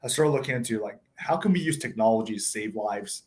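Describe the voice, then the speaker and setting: A man's voice: on the low side, fast, darkish tone. A man in his thirties, born in Taiwan and raised in South Africa, telling his story as a startup founder in a casual interview, chatty with frequent fillers. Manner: conversational, many fillers and restarts. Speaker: tech startup CEO, guest.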